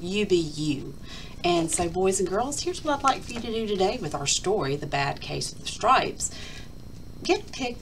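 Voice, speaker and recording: Animated woman reading to children. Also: on the low side, slight background noise, rough recording. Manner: emphatic, addressing children directly. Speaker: storytime host, school reader. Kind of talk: expressive picture-book reading to children.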